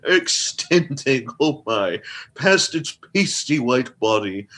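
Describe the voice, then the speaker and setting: A man playing a pompous gentleman host, narrating comedy radio theatre in a florid, mock-grand, theatrical manner. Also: high-pitched, full character voice. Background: plucked guitar music.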